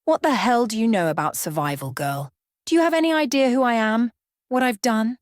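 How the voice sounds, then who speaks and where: A woman's voice: on the high side, lively intonation, a little fast, dry recording, studio quality, voice pushed up, close to an everyday voice. A woman voicing an arrogant, scoffing middle-aged male crime boss in a storybook reading. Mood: angry. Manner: scoffing, barking, irritated.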